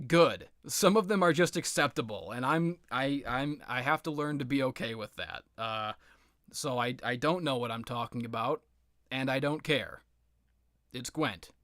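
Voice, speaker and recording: A man's voice: on the high side, fairly bright tone, no room sound, clean studio sound. A man doing casual, rambling video game commentary, sweary and self-deprecating, with a sardonic, sometimes theatrical way of talking. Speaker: gaming commentator.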